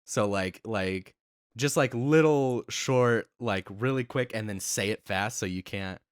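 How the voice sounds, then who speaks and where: A young American man co-hosting a podcast, playful and quick to laugh as he chats. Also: lowish voice, smooth, dry recording, studio quality. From United States. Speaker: podcast co-host. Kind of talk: casual chat.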